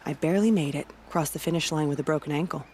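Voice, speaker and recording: An actress playing a proud female warrior who guards a border village, speaking in a solemn, steady and devout way. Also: low-pitched, fast talker, slight background noise, lightly acted.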